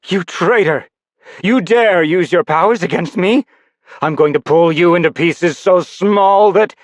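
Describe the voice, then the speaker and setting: A man's voice: fairly low pitch, takes it slowly, no room sound. A man reading an audiobook voices a cruel, mocking woman villain with a taunting, contemptuous manner. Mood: enraged.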